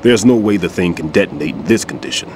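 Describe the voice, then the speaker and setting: An actor playing an older male bomb disposal expert, a patient mentor who explains things in a measured, instructive way in a game cutscene. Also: low-pitched, lively intonation, noisy background. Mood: calm instructive. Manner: instructive, reflective. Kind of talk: video game cutscene dialogue. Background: music score.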